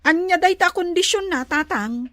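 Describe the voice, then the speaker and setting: A woman's voice: extremely high pitch, expressive, moderate pace, fairly bright tone, no room sound, clean studio sound. A woman playing a naive, eager young single mother, plaintive and questioning, in an Ilocano radio drama. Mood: hopeful, questioning.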